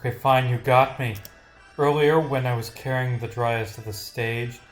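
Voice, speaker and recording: A man's voice: medium pitch, steady tone, moderate pace, soft background music, natural voice. A man voicing a defensive, evasive young male stage performer from a visual novel, protesting under questioning. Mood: reluctant confession.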